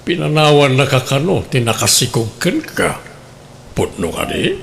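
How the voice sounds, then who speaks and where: An actor playing a smooth-talking, flirtatious customer in a comedic radio drama, speaking in a teasing way. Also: male voice, medium pitch, big pitch swings, moderate pace, a bit bright, a little hiss or hum.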